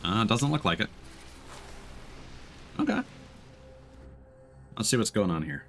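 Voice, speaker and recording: A man with a low voice streams a game and comments casually. He is hesitant and thinks aloud as he goes, with music playing faintly behind him. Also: very animated, slow pace, fairly bright tone. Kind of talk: casual live gameplay commentary.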